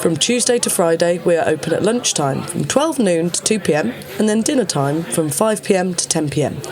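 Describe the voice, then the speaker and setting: A man's voice: high-pitched, expressive, a little fast, a little mellow, faint music behind. A man reading a scripted radio advertisement as its announcer.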